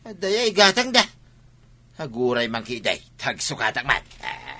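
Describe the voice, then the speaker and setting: Actor as a very forceful, bright, boastful village man. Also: mid-range voice, huge pitch swings, normal speed, exaggerated voice. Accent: Filipino, Ilocano. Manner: boastful, exclaiming. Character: cheerful, vain village man showing off. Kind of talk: Ilocano radio comedy drama.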